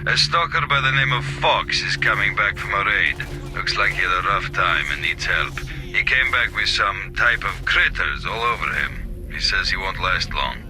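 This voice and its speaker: A matter-of-fact trader from a video game. His voice sits fairly low as he gives informal, directive briefings. Music is clearly audible underneath. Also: lively intonation, moderate pace.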